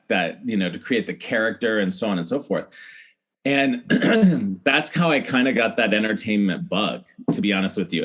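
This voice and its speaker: A man's voice: on the low side, fairly bright tone. A middle-aged man, telling stories in a chatty, casual way as a podcast guest and acting out remembered dialogue. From United States, Iowa. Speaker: podcast guest, celebrity hair stylist. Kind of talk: animated casual storytelling.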